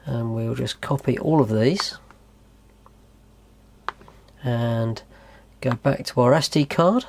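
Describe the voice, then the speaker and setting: A man's voice: medium pitch, takes it slowly, vocal fry, a little mellow, slight background noise. A man presenting a how-to tutorial in a methodical, explanatory way. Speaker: host of a tutorial channel.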